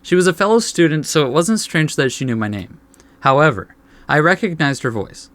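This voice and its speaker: A man's voice: fairly high pitch, big pitch swings, brisk, a bit bright, no room sound. A man reading game text aloud as a let's play host, adding laid-back asides.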